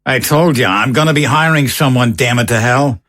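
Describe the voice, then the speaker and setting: An actor playing a grumbling, reluctant, henpecked husband in an audio drama, exasperated and sarcastic. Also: male voice, mid-range voice, fairly quick, a bit bright, lightly acted. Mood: irritated. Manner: exasperated, sarcastic, mildly coarse.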